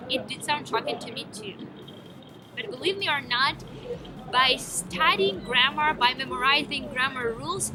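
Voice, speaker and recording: Eager high-voiced woman, Ukrainian accent. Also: background noise. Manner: eager, emphatic, with some hesitations. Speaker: vlogger. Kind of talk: enthusiastic to-camera vlog. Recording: background music under voice.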